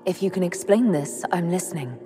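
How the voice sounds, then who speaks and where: A woman's voice: fairly low pitch, expressive, fairly quick, close to an everyday voice. A woman playing a guarded, defiant elf hero in a video game, with a dry, firm manner. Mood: wary. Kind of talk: video game cutscene acting. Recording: music behind.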